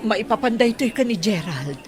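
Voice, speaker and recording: A woman's voice: mid-range voice, expressive, moderate pace, darkish tone, lightly acted. A woman playing a humble village mother who raises pigs, in an Ilocano radio drama. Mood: troubled.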